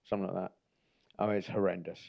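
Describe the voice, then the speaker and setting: A man's voice: sits low, little pitch movement, rapid-fire, gravelly, dull audio. A man from Norfolk in England co-hosting a casual podcast, talking in a hesitant, stop-start way. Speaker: podcast co-host. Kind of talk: casual chat.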